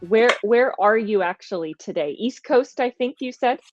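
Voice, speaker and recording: A woman's voice: fairly high pitch, lively intonation, moderate pace, dry recording, highs cut off, soft background music. A woman hosting a conversational podcast, talking with energy and emphasis and thinking aloud as she goes. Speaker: podcast host.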